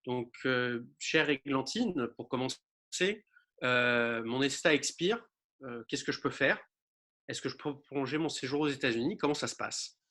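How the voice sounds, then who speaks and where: Man, webinar host, video call, halting with fillers, bright tense voice, mid pitch. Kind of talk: hosting a webinar.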